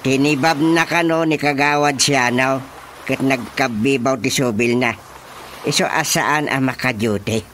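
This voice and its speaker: A man's voice: medium pitch, steady tone, a little slow, fairly bright tone, a little hiss or hum, close to an everyday voice. An actor playing a flustered, easily amused village chairman in a comic radio drama, stammering and exclaiming with an Ilocano accent. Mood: gossipy. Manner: stammering, sputtering, full of exclamations.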